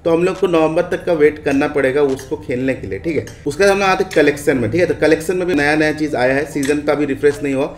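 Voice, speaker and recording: A man's voice: fairly high pitch, fast talker, hardly any pauses. An Indian man hosting a gaming video, giving a casual walkthrough commentary with repeated stock phrases. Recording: echoey room with faint background noise.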